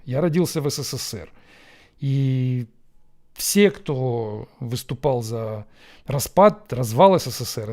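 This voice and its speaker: Reflective man with a mellow voice. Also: mid-range voice. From Ukraine. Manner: reflective, with frequent pauses and fillers. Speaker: host answering viewer questions. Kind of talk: Q&A monologue. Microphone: camera setup.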